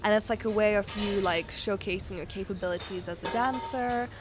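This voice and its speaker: Young woman from kenya, mid pitched voice, chatting casually on a podcast, tells stories with little quoted bits. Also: brisk, music in the background. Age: 20s. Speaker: podcast guest. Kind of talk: casual conversational interview.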